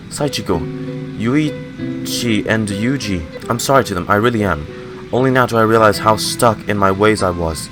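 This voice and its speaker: A man doing casual game reaction commentary, muttering asides and reading text aloud. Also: fairly low pitch. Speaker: gamer reacting on stream. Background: game music.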